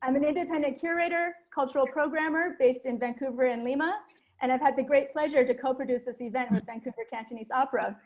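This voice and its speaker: A woman from North America hosting a live online event, speaking in a friendly, clear way with small fillers. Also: high voice, fast talker, lo-fi sound. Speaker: host.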